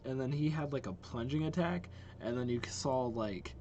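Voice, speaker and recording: A man's voice: medium pitch, lively intonation, moderate pace, darkish tone, muffled recording, slight background noise. A young man hosting a game reaction video, giving casual, off-the-cuff commentary. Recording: faint game music behind voice. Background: game trailer music.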